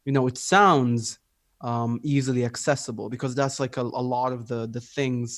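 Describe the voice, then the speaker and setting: Young libyan guy musician, thinking out loud, lots of "like", dry close sound. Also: mid-range voice, steady tone, a little fast, no room sound. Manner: reflective, many "like" fillers. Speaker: musician guest. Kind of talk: casual interview chat.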